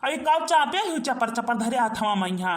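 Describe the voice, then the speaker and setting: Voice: medium pitch, animated, moderate pace, natural voice. A performer voicing a blunt, complaining young village goatherd lad in a comic Awadhi cartoon, with an Awadhi accent. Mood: grumbling, defiant. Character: grumbling young village bridegroom who herds goats. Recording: roomy echo on voice.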